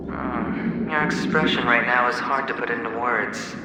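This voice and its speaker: An actor voicing the mocking, theatrical inner self of a young gambler in a video game, with drawn-out, swaggering delivery and an echo on the voice. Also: male voice, mid-range voice. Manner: mocking, drawn-out, sing-song swagger with sighs and chuckles. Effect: echoing reverb on the voice. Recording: echoing game cutscene with music. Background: music.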